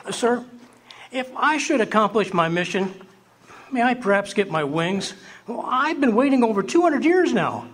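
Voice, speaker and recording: An actor playing a meek, eager apprentice angel who is timid, fussy and polite. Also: male voice, very high-pitched, very animated, normal speed, fairly bright tone, heavily acted. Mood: earnest. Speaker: radio theatre actor. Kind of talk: live amateur radio drama acting.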